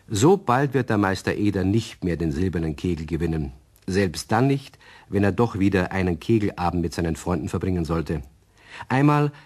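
A male narrator of a children's radio play. He has a fairly low voice and delivers scripted storytelling addressed to young listeners. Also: a little mellow.

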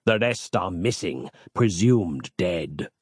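Whimpering male monk, acted by a man, melodramatic, archaic, theatrical, pitch swooping widely, dry audio story sound. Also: sing-song, takes it slowly, slightly rough, dry recording, full character voice. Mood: glum.

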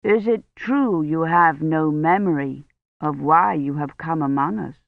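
A woman voicing a calm, gentle medieval male priest in an audiobook, with measured, formal, archaic phrasing. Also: sits low, vocal fry, no echo, phone-quality sound. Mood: grave, questioning. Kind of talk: audiobook character acting.

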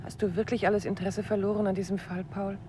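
An actress playing a detective's quick-witted wife in a 1950s radio play, probing and gently reproachful. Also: female voice, mid-range voice, level tone, slight background noise, natural voice.

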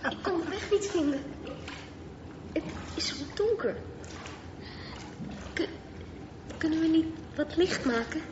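Very high timid girl. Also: animated, takes it slowly, long pauses, exaggerated voice. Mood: nervous. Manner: hesitant, stammering. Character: timid, hesitant girl, uneasy in the dark. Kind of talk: radio drama acting. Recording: muffled, noisy radio play recording.

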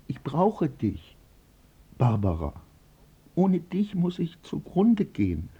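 An actor playing a brooding, self-tormented and ardent stage actor in a radio drama, with intense theatrical delivery. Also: male voice, fairly high pitch, huge pitch swings, slow pace, dark tone, breathy edge, muffled recording, exaggerated voice. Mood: anguished pleading.

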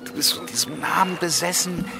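An actor playing a gruff, defensive male police boss in a radio crime drama, blunt and defiant. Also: sits high, a little fast, over loud music. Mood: combative, taunting. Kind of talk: modern German radio crime drama acting.

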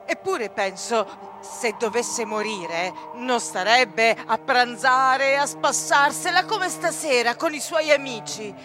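An actress playing a gossipy, wondering servant who muses aloud expressively in a radio drama. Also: female voice, high voice. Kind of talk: theatrical radio drama acting.

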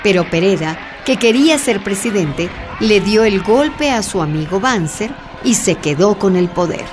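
A woman's voice: mid-range voice, expressive, moderate pace, background noise. A woman giving scripted narration for a radio drama. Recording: clear background music.

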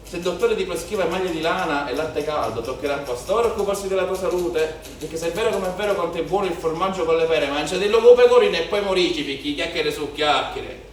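A man's voice: on the high side, fast, lightly acted. Ringing through a very echoey theatre, a male actor gives voice to a proud country shepherd and father. He is emphatic and folksy, preaching in proverbs with theatrical force, a little distant from the microphone. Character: proud rustic shepherd father preaching dignity of common work. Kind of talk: theatrical stage monologue. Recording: very echoey theatre, distant mic, faint noise.

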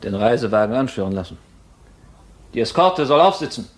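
An actor playing a dignified, loyal court official in a classical radio drama, with a formal, earnest, declamatory delivery. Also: male voice, medium pitch, very animated, a little fast, a little hiss or hum, natural voice. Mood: brisk, announcing.

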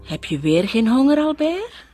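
Lively, high-voiced suspicious wife. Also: female voice, normal speed, faint music behind. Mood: concerned, probing. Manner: questioning, pointed. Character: suspicious, probing wife, needling and controlled. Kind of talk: radio drama acting.

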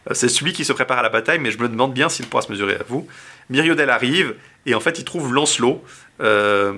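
Man, bright voice, laid-back retelling, hesitant fillers, chatty podcast host. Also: mid-range voice, quick pace. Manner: summarizes loosely with many fillers and restarts, laughing often. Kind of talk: casual chat retelling a medieval romance.

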